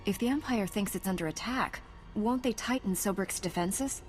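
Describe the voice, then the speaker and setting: A woman's voice: mid-range voice, moderate pace, faint music behind, natural voice. A woman playing a resolute, earnest knight heroine in a science fiction video game, with a composed manner. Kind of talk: video game cutscene acting.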